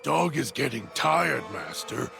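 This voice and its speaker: A man voicing a deranged, hungry male dog-creature who talks of himself in halting, broken third-person speech. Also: medium pitch, lively intonation. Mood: eerie. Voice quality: gravelly. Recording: music bed underneath.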